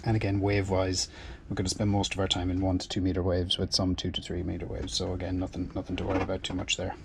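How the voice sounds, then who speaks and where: A man's voice: deep voice, completely flat delivery, quick pace, noisy background. A solo sailor, a man, explains his plans in a casual, methodical way, thinking aloud and often repeating words, from a small boat. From Ireland. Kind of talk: casual explanatory vlog narration. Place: small boat cabin and engine bay.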